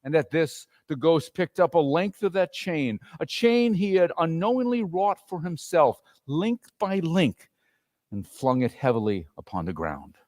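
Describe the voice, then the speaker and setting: A man narrating a story as a dramatic reading, in an expressive, theatrical manner. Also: on the high side, measured pace. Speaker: narrator and performer.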